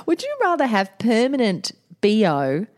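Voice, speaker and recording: A woman's voice: fairly high pitch, wildly swooping pitch, moderate pace. A woman co-hosting a podcast, chatting casually with cheeky, bawdy jokes and frequent laughter. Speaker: podcast co-host. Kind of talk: casual chat.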